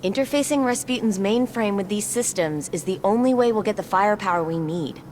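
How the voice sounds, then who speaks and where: A woman's voice: medium pitch, fairly quick, a little mellow, slight background noise, close to an everyday voice. An actress playing a determined young female tech expert who talks in an earnest, direct way.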